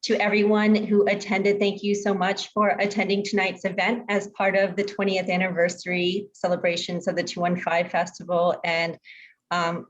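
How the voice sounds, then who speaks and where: Slightly low-voiced woman closing an event. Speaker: festival organizer giving closing remarks. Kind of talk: informal event wrap-up. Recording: slightly dull lo-fi call.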